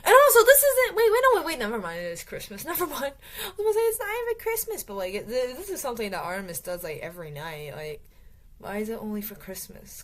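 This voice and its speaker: A woman's voice: medium pitch, fairly bright tone, a little hiss or hum. A woman casually recapping a novel for her book review channel, rambling with lots of fillers and restarts, her voice growing tired. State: voice getting tired.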